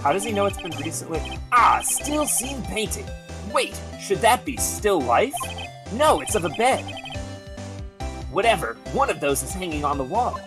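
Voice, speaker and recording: A woman's voice: medium pitch, big pitch swings, normal speed, over music. A woman on a casual group game stream, reading dialogue aloud and commenting in a joking, sardonic way.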